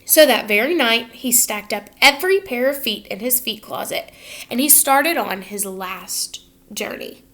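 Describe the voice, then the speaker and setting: A woman with a high voice reads a children's picture book aloud as a teacher would. Her delivery is expressive and instructive, with pauses to check that young listeners follow along. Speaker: teacher reading to students.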